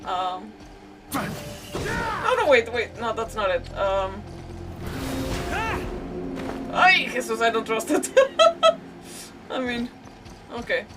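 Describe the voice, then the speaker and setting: A woman's voice: a little high, huge pitch swings, slow, long pauses. A woman doing casual live gameplay commentary as a streamer, thinking aloud with mild swearing. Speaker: game streamer. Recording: distant mic, loud game music. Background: loud game music.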